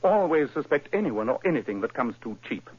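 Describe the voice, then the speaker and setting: A crisp, commanding detective in a vintage radio play. His husky voice rises and falls in wide lively swings. The broadcast sounds muffled and dull. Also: mid-range voice. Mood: explaining. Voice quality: crisp, authoritative, brisk. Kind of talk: 1940s radio acting.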